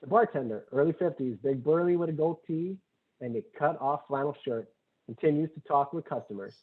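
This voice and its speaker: A man's voice: on the high side, brisk. A man reading screenplay stage directions as scripted narration at a table read. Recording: tinny phone-like audio.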